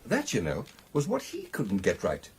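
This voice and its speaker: Excitable British gentleman, defiant, theatrical, very rough husky voice, hugely animated pitch, radio drama. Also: normal speed, exaggerated voice. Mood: confident. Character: stubborn, excitable gentleman recounting and re-enacting a ghost encounter.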